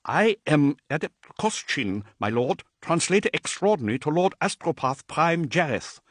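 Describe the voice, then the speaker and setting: A man's voice: on the high side, very animated, moderate pace, a bit bright, no room sound, studio quality, pitched above the performer's own voice. A man voicing a nervous, overeager male messenger in an audiobook.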